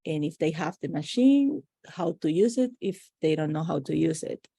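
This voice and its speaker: A middle-aged woman with a Dominican Spanish accent, a native Spanish speaker answering casually and haltingly as a podcast guest. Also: deep voice, animated, gravelly, dark tone, slightly rough, no room sound, clean studio sound. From Dominican Republic.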